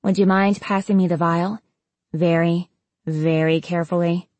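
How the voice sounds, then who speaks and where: A woman voicing an eager young female dragon scientist in an audiobook, chattering in an excited, inquisitive, rambling way. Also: on the low side, moderate pace, no echo, close to an everyday voice. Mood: careful.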